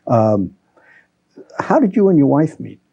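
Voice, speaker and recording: A man's voice: medium pitch, very animated, unhurried, vocal fry, warm tone, breathy edge. A man asking questions conversationally as the interviewer in an oral history interview. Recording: dull, muffled recording.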